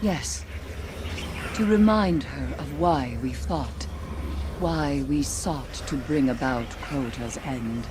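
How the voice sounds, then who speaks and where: An actress playing a solemn, grieving survivor in a video game, haunted by lost friends, speaking deliberately and formally. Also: female voice, a bass voice, noisy background. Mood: mournful, reflective. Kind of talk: video game cutscene acting.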